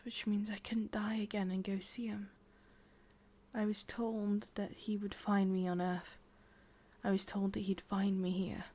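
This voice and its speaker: A lovelorn, obsessive immortal witch, played by a woman with an English accent, whispering in an intimate ASMR roleplay. Her hushed voice is breathy and creaky at the edges, and she speaks very slowly, with wistful, coaxing softness. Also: medium pitch. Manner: slow, hushed, intimate delivery with pauses.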